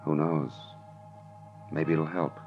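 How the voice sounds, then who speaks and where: A man's voice: very deep voice, flat delivery, takes it slowly, heavily acted. An American man playing a world-weary, hard-boiled private detective in old radio noir style, terse, wry and punchy. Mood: weary, brooding. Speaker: lead actor and narrator of a radio detective series. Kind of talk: 1940s-50s radio noir acting. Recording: muffled old radio recording with music.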